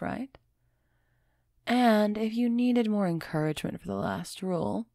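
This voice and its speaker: A woman acts out a commanding female vampire in an ASMR audio drama. She speaks slowly and deliberately, with a gravelly fry under her soft, teasing authority. The sound is dry and intimate, with no room around her. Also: on the low side, dry recording. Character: aloof female vampire, commanding, teasing, warming to human captive.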